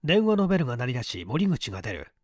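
A man's voice: mid-range voice, big pitch swings, quick pace, a little mellow, no room sound, clean studio sound. A man narrating mystery fiction from a script as an audiobook reader, in a dramatic manner.

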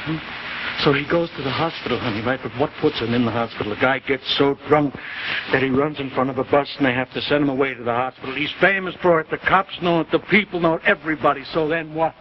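An actor in a 1950s television drama playing a scheming, impatient husband who insistently repeats himself to a frightened partner. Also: male voice, mid-range voice, fairly even intonation, fast, lightly acted. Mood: urgent, persuading. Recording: noisy muffled 1950s TV broadcast.

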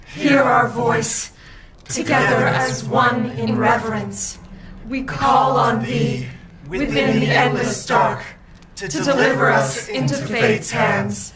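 An actress playing a devout village woman leading a solemn, reverent prayer in a video game cutscene. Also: a little high. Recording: loud noise behind the voice.

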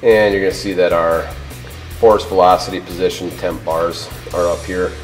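A man's voice: low-pitched, fairly even intonation, normal speed. A middle-aged man giving a product presentation and demonstration as a company presenter. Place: indoor workshop showroom. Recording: roomy echo, faint music behind.

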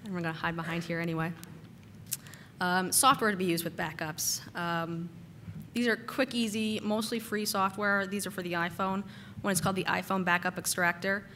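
A woman giving a casual technical conference talk, slightly hoarse from a cold and using lots of "uh" and "um" fillers. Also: on the low side, fairly even intonation, moderate pace, sharp tone, slight background noise. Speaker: conference speaker. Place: conference hall.

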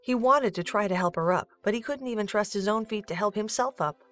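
A woman's voice: medium pitch, fairly quick, fairly bright tone, soft background music. A woman giving scripted narration for a storybook-style audio drama.

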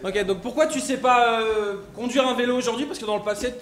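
A young man interpreting a sermon live in a church hall, quick and friendly. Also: extremely high pitch, a bit bright.

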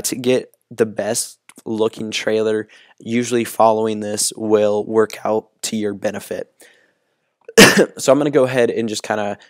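A young man with a hoarse, partly lost voice, casually talking through a screen-recording tutorial with lots of ums and uhs. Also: on the low side, no echo, studio quality. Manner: relaxed, full of ums and uhs. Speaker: YouTube tutorial host.

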